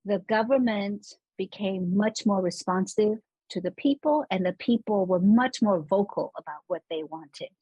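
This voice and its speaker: Husky woman explaining city planning. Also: medium pitch, no room sound, low-quality recording. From Los Angeles. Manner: animated, laughs while explaining. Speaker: urban planning scholar guest. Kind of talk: conversational interview explanation.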